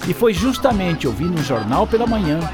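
A man's voice: high voice, very animated, brisk, over music. A man narrating a children's radio drama as a playful, theatrical storyteller. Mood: playful storytelling. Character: lively storyteller of a girl's adventures.

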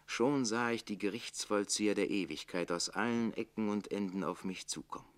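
A man acting a jealous, sharp-witted servant in a classic radio drama. His voice sits fairly low. He declaims rhetorically, moving between hushed bitterness and proud outrage at his noble master. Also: moderate pace, close to an everyday voice. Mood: wry, aggrieved. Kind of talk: theatrical German radio play monologue.

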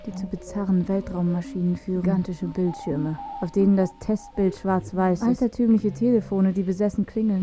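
An actress playing a cool guide narrating strange places in a radio play. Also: female voice, fairly low pitch, close to an everyday voice.

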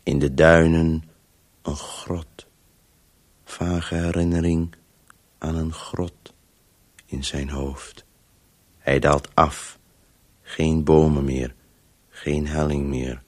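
Very deep, halting, weary storytelling voice. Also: male voice, flat delivery, darkish tone, full character voice. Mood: bleak, halting. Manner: halting, clipped fragments, sometimes breathy near-whisper. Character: disembodied male Voice, weary, obsessively telling a halting story. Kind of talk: radio play acting, fragmented monologue.

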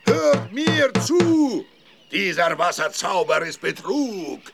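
Gravelly, menacing medicine man. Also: sits high, big pitch swings, slow, over a noisy crowd, exaggerated voice. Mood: ominous. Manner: incantatory, pompous, threatening. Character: angry, menacing African village medicine man chanting spells. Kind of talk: German children's audio play acting.

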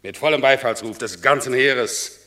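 An actor playing a solemn, generous Roman general in a classical verse radio drama, declaiming ceremoniously. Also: male voice, mid-range voice, moderate pace, pushed and bright, close to an everyday voice. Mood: proclaiming.

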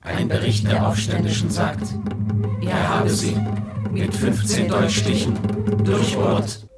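An actor playing a sober chronicler, narrating a German radio play in a measured, reporting manner. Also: male voice, on the low side, animated. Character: sober male chronicler of a revolt. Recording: plucked guitar music behind voice.

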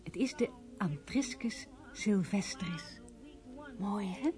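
A tender young woman in a radio play, full of wonder. Her voice is a little low and very expressive, often breathy and dreamy, with music playing behind it. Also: takes it slowly. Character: tender, enraptured young woman who loves botany. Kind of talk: naturalistic radio drama dialogue.

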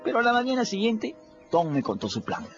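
A streetwise, quick-witted boy to narrate a radio play. His fairly high voice rises and falls with lively storytelling. Music sits under a dull, lo-fi recording. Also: heavily acted. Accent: Peruvian Spanish. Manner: folksy, lively first-person storytelling.

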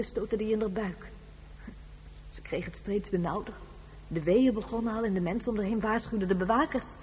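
An actress as a very old woman in a sci-fi radio play, gentle and reflective. Her voice sits at an ordinary female pitch and rings in a long reverb over a humming noise. She tells things in a measured, reminiscing way. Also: close to an everyday voice. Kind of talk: Dutch science fiction radio drama acting.

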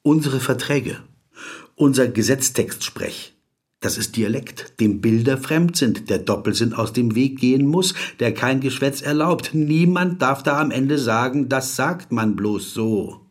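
A man's voice: mid-range voice, expressive, unhurried. A man acting a pedantic, brooding, awkward corporate lawyer in a German radio drama, fussy and dryly ironic in his digressions. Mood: earnest, pedantic. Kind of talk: German radio drama, dialogue and inner monologue.

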